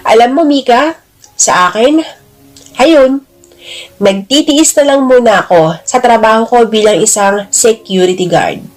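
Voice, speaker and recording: Audio drama: teasing, gushing male buddy voiced by a woman, high-pitched, flirty banter over music. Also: measured pace, natural voice, rough recording. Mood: chummy, wistful. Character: Victor, teasing, chummy, flirtatious man, a security guard.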